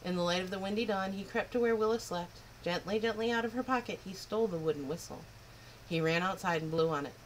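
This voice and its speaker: A woman reading a picture book aloud to children in an expressive, lilting way. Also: medium pitch, fast, slight background noise, poor audio. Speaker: children's storyteller. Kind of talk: storybook read-aloud.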